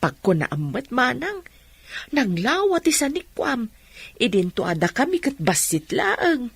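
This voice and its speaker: A woman playing a gentle, forgiving older mother with an Ilocano accent in a radio drama. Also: a little high, sing-song, a little slow, slightly rough, no echo, exaggerated voice. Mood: consoling. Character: kindly, forgiving older mother and younger sister.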